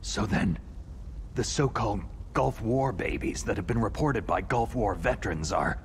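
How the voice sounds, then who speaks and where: Gravelly, gruff action hero soldier. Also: male voice, lowish voice, lively intonation, moderate pace, slight background noise. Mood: skeptical. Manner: terse, skeptical. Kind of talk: video game cutscene acting.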